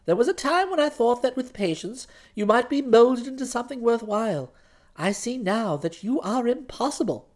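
A man's voice: extremely high pitch, lively intonation, moderate pace. A man voicing a haughty, stern young English aristocratic woman in a storybook, cold, clipped and imperious. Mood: cold, severe.

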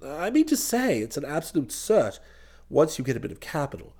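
A man's voice: on the high side, big pitch swings, brisk, mellow, breathy edge, pitched below the performer's own voice, heavily acted. A man voicing a hapless, muddled young upper-class English nephew in an audiobook, hesitant, earnest and rambling. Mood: nervous explaining.

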